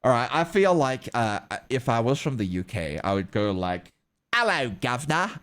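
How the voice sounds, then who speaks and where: Man, South African accent with some Australian and American mixed in, casual stream chat, rambling, very lively pitch. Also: normal speed, smooth. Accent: South African English, mixed with Australian and American. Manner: rambling, chuckling often, talks back to chat. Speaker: streamer and artist.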